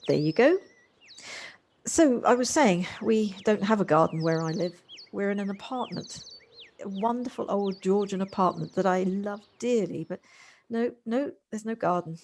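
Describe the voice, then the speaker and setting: A woman's voice: mid-range voice, expressive, normal speed, a little mellow, slight background noise, close to an everyday voice. An actress playing a busy city career woman in an audio drama, polite, chatty and slightly flustered. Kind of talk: amateur audio drama acting.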